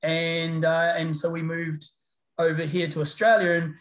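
A middle-aged man with a New Zealand accent, chatting as an interview guest in a relaxed, rambling way full of fillers and restarts. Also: on the high side, a little slow. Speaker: guest, paramedicine lecturer. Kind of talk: casual interview chat. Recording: roomy echo.

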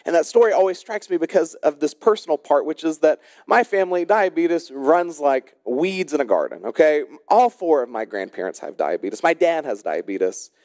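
A man delivers a sermon in a voice pitched a bit higher than most men's. He is an emphatic storyteller, shifting between brisk narration and slow, dramatic phrasing. The sound is dry, with very little room around the voice. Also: very animated, darkish tone, no echo. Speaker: pastor preaching. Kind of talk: sermon with storytelling and scripture exposition.

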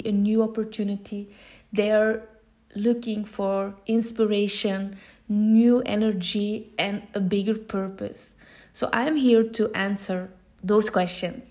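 A woman's voice: mid-range voice, darkish tone. A woman in her thirties from Belgium, a native Dutch speaker with a Belgian Dutch accent, giving an informal, unscripted and earnest podcast introduction. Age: 30s. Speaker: podcast host. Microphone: phone recording.

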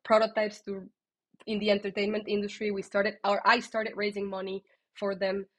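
A woman with a strong Colombian Spanish accent, a podcast guest telling her story in a lively, candid way. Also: mid-range voice, moderate pace. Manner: lively and candid, with frequent fillers and restarts. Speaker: guest, tech executive.

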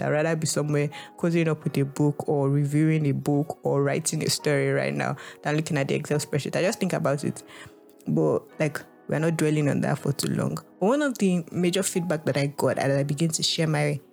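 A woman's voice: low voice, steady tone, a little fast, gravelly, darkish tone, faint music behind. A young Nigerian woman with a Nigerian English accent, hosting a podcast in a casual, reflective monologue, full of "like" and restarts. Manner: rambling, heavy use of "like" and restarts. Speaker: podcast host.

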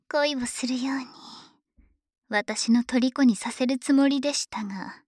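An obsessive dragon maid, acted by a woman, murmurs in a high, breathy voice for an ASMR drama. She speaks slowly and politely, like a devoted servant, with a teasing, possessive menace. The sound is very close and dry. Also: no echo. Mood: menacing, jealous. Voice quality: breathy, whispery. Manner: slow, honorific servant speech, softly teasing. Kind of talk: Japanese ASMR situation voice drama. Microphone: close microphone, dry studio recording.

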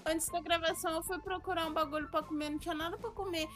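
A woman from Porto Alegre co-hosting a casual podcast, blunt and quick to swear and laugh. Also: very high-pitched, fairly even intonation, a bit bright, faint music behind. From Porto Alegre, Brazil. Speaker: podcast co-host.

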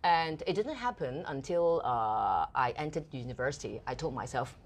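A woman's voice: very deep voice, normal speed. A middle-aged woman from Hong Kong with a Hong Kong accent, a TV and radio host chatting as a guest and acting out little scenes as she tells them. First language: Cantonese. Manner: animated, playful storyteller acting out little scenes. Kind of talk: casual interview chat.

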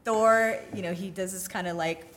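A woman co-hosting a casual film discussion in an enthusiastic, excitable way. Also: medium pitch, normal speed. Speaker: podcast co-host.

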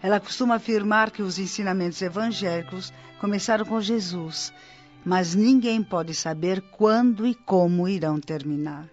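An actress playing a kindly, admiring woman who explains gently in a Brazilian radio drama, with a Brazilian accent. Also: mid-range voice, moderate pace, close to an everyday voice. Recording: dull radio-drama recording with music.

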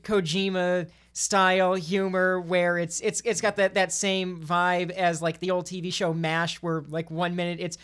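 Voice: mid-range voice, flat delivery, unhurried, few pauses, fairly bright tone, smooth. A speaker chatting casually about video games on a podcast in a rambling, stammering way, often repeating phrases.

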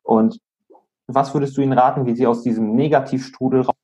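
A man hosting a conversational interview in a measured, reflective, polite way, with muffled sound. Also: medium pitch, steady tone, mellow. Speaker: podcast host, interviewer.

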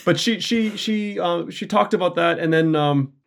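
A man telling stories casually as an interview guest, halting and repeating his words, with self-deprecating humour. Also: sits high, gravelly. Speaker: interview guest, audio drama writer. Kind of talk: casual conversational storytelling.

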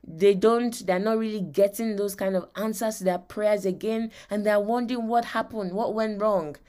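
A woman's voice: mid-range voice, fairly even intonation, normal speed, warm tone. Emphatic Nigerian woman teaching on faith. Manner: emphatic, repeats phrases and checks in with listeners. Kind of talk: motivational faith teaching with personal testimony.

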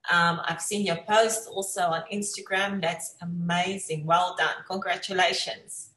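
A woman's voice: fairly low pitch, steady tone, measured pace, fairly bright tone. A woman hosting a conversational interview show, speaking in an effusive, encouraging way. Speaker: talk show host. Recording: echoey room sound.

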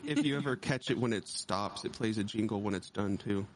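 A man's voice: on the low side, brisk, a little hiss or hum, rough recording. A man hosting a podcast in casual chat, telling stories animatedly, teasing his co-host and swearing a lot.

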